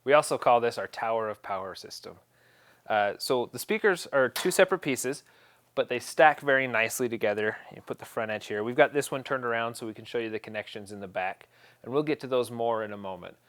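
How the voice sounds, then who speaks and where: A man's voice: mid-range voice, a little fast, a bit bright, no echo. A man presenting an instructional equipment setup tutorial, explaining each step in a plain, practical way.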